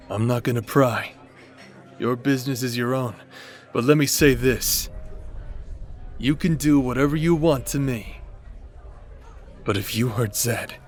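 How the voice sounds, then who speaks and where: A confident warrior nobleman, acted by a man in a fantasy audio drama, speaking at a middle pitch. He is casual and teasing, brash yet protective, with faint music underneath. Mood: relaxed, probing, then menacing. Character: brash, protective adult nobleman warrior captain, easygoing but menacing. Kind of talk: fantasy audio roleplay acting.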